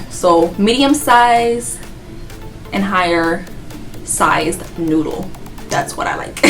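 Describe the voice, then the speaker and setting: A woman's voice: fairly low pitch, fairly bright tone, rough recording. A woman in her twenties from Haiti, chatting casually and rambling about herself, laughing often. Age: 20s. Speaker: vlogger. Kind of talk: casual get-to-know-me chat.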